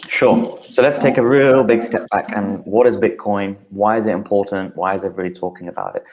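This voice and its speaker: A man, a guest calmly explaining things in an interview, with frequent uh fillers. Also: fairly low pitch, brisk, muffled recording. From United Kingdom. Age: 30s.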